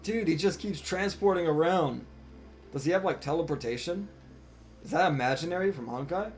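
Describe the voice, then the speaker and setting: A man doing live gameplay commentary as a streamer, excitable and given to swearing. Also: a little high, normal speed. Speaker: game streamer. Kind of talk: live gameplay commentary, reading dialogue aloud. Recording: music behind the voice.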